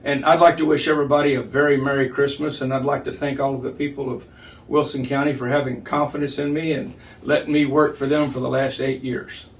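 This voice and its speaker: A man's voice: medium pitch, little pitch movement, fairly quick, distant mic, a little hiss or hum, poor audio. An older man, a county official, giving answers in an interview. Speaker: county judge being interviewed.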